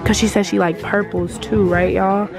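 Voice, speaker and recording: A woman's voice: mid-range voice, flat delivery, moderate pace, vocal fry. Slangy young woman vlogging, music behind. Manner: loose, slangy, talking straight to viewers. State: raspy morning voice. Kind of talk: casual vlog chat. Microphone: handheld phone camera close up.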